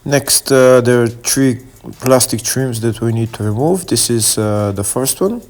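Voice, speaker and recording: A man narrating a step-by-step car repair tutorial in a halting way, pausing mid-sentence. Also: medium pitch, darkish tone, faint music behind.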